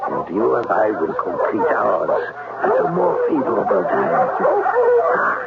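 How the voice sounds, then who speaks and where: Menacing German groundskeeper, crackly old radio. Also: male voice, on the low side, very animated, measured pace, gravelly, full character voice. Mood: menacing, lustful. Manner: insinuating, commanding, clipped. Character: menacing, lecherous German groundskeeper with guard dogs. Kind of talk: 1970s radio drama acting. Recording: crackly narrow-band old radio recording.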